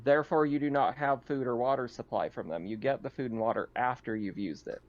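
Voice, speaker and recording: A man's voice: medium pitch, fairly quick. A man acting as game master, casually explaining rules to players in a conversational way. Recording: dull lo-fi voice recording.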